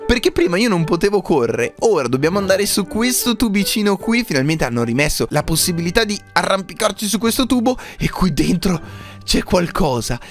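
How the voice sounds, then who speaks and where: A young man commentates a game in a high, very animated voice. His delivery is playful and excitable, like a YouTuber playing for viewers. Light music plays behind him. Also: a little fast. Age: young adult. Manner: playful, animated, often breaking into giggles. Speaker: gaming YouTuber.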